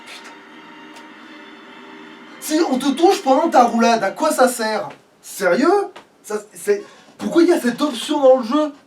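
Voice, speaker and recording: A man's voice: very high voice, animated, measured pace, long pauses, a little mellow. A man doing casual let's play commentary in a grumbling, sweary way. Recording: noisy, distant microphone.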